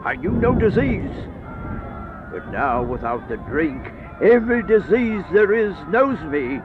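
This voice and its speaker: Casting: ancient sickly vampire, high-pitched and hugely animated, grandiose and bitter, radio horror melodrama. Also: male voice, unhurried, noisy background. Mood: weary, bitter. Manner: grandiose, brooding, melodramatic monologue. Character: aged, sickly first vampire, bitter and remorseful. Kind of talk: theatrical radio horror play acting. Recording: dull lo-fi radio play with music.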